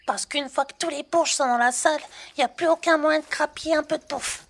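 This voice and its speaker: A woman plays a brash young working-class girl for a roleplay audio drama. Her voice is very high, and she talks in loose, casual slang. She is cheeky and always ready to tease. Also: brisk. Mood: frank, cheerful.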